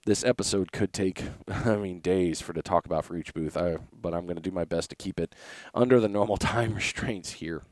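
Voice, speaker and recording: A man hosting a casual solo podcast, a bit raspy from a recent illness, talking in a laid-back, rambling way with lots of uhs. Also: low-pitched, a little fast, darkish tone, no echo, studio quality. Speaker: podcast host.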